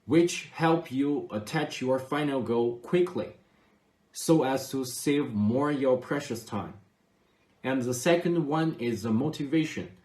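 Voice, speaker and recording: A man's voice: mid-range voice, darkish tone. A man in his twenties from China with a Chinese accent, giving a formal, carefully worded testimonial to camera. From Xinjiang, China. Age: 20s. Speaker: online student. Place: small indoor room at home. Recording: echoey room.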